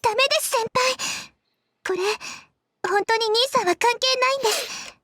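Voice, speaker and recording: Very high-pitched, gentle anime schoolgirl. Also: fast talker, no room sound, studio quality. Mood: pleading, apologetic. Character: gentle, deferential, anxious teenage schoolgirl in an anime visual novel. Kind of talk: Japanese visual novel voice acting.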